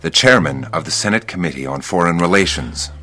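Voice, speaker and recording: An actor playing an imperious political aide and attorney in a radio drama, formal, clipped and condescending. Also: male voice, low voice, lively intonation, brisk, bright, forceful tone, faint music behind. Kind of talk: radio crime drama dialogue.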